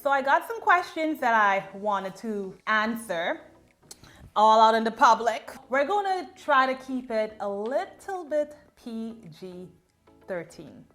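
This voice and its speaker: A woman's voice: on the high side, slow pace, soft background music. A woman in her thirties from Trinidad and Tobago, chatting casually and playfully as she answers get-to-know-me questions. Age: 30s. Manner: expressive, playful, reads questions aloud then answers. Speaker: beauty vlogger. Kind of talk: casual Q&A vlog.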